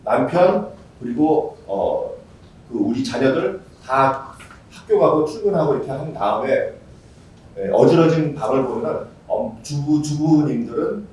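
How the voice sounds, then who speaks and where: A middle-aged man giving formal discussion remarks at a conference, earnest and measured, with many fillers and restarts. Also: mid-range voice, animated, a little mellow. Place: large conference hall. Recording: very echoey hall, voice from far off, faint room hum.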